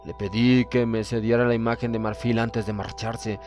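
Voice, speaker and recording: A male audiobook narrator reads a horror tale in a formal, measured way with a dramatic touch. His pitch is medium, and music plays behind the reading. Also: little pitch movement, darkish tone. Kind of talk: scripted horror story narration, first person.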